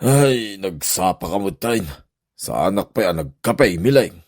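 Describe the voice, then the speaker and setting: A man's voice: sits low, expressive, measured pace, exaggerated voice. A man playing a village captain who hears neighbors' complaints in a comedy radio drama. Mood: puzzled.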